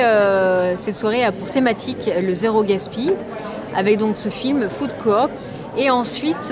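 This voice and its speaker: A woman in her thirties, an event organizer, explaining casually on camera with many hesitations. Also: mid-range voice. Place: crowded cinema lobby indoors. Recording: loud crowd and music behind.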